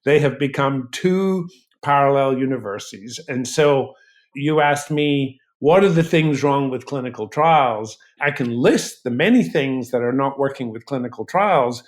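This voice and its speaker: A man speaking as an expert interview guest in a deliberate, explanatory way. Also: medium pitch, expressive. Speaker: guest expert, physician researcher.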